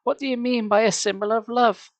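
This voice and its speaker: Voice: medium pitch, normal speed, vocal fry, no room sound, voice pushed up. A reader voicing a terrified young girl stuck in a monster mask, shrieking and pleading in a storybook reading.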